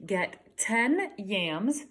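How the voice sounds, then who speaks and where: A woman's voice: mid-range voice, sing-song, drawn-out words, a bit bright, full character voice. A woman reading a children's storybook as a busy mother, giving instructions word by word with emphasis. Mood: instructing.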